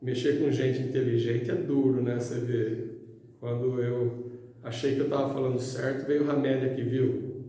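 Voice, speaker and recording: A man's voice: medium pitch, little pitch movement, moderate pace, dark tone. A middle-aged Brazilian man giving an informal spiritual talk to an audience in a conversational, colloquial way. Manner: conversational, colloquial, acts out imagined objections. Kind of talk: informal motivational sermon to an audience. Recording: very echoey hall, distant microphone.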